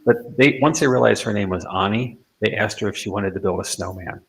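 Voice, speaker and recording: A man's voice: fairly low pitch, brisk, creaky, slight background noise, poor audio. A man, a guest paranormal investigator, talking reflectively in a casual podcast conversation, dotting his points with "you know" asides.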